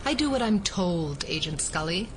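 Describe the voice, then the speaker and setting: A woman's voice: lowish voice, lively intonation, normal speed, background noise, lightly acted. An actress in an audio drama playing a stern, sardonic female assistant director, formal and reproving.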